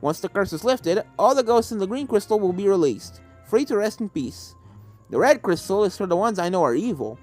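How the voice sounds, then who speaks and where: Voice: mid-range voice, big pitch swings, brisk, a little mellow, faint music behind. A narrator playing a formal, kindly pony professor. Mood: explanatory. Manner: explanatory, formal. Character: scholarly male pony professor, ghost researcher, kindly, serious.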